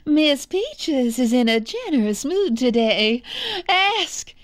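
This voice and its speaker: A haughty cartoon villainess in a game cutscene. Her high voice swoops theatrically, drawing words out slowly with sugary menace. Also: big pitch swings, full character voice. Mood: gloating, sweet. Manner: imperious, theatrical. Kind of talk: video game cutscene acting.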